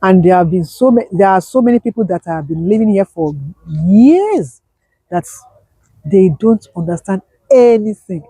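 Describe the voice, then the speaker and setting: Woman, business owner guest, husky mellow voice, repeats stuff for emphasis. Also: medium pitch, animated, moderate pace, dry recording, low-quality recording. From Nigeria. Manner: emphatic, repeats key points. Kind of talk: conversational interview.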